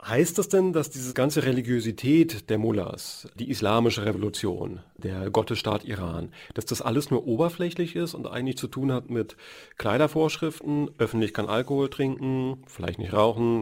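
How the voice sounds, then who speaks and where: A man hosting a radio interview and asking measured questions. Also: mid-range voice, gravelly, a little mellow.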